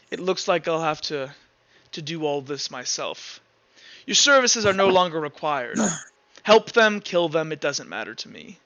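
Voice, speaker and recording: A man voicing a cold, brooding, world-weary villain in a tabletop game, with halting, deliberate pauses. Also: a little high, sharp tone, no echo, dull audio, heavily acted. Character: brooding male villain of a dream world, coldly menacing, world-weary.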